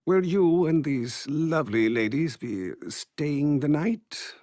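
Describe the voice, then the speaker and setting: An actor playing a deferential, discreet manservant in a game cutscene, formal and dryly polite. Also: male voice, a little high, lively intonation, takes it slowly, a little mellow, no echo. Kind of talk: video game cutscene acting.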